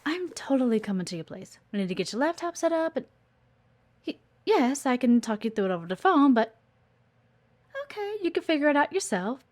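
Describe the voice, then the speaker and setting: Woman playing a coy, lilting, high-voiced office assistant. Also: sing-song, fairly quick. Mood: playful, pushy. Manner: coy, teasing, chatty, with murmured asides. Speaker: voice actor in an audio roleplay. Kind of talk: intimate scripted audio roleplay, one-sided conversation with the listener.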